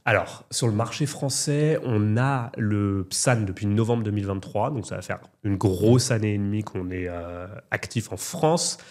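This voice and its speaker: A man with a somewhat low voice, talking as the company's representative for France. He explains figures and markets in an assured, explanatory way. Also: unhurried, hardly any pauses, a little mellow. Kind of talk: business interview.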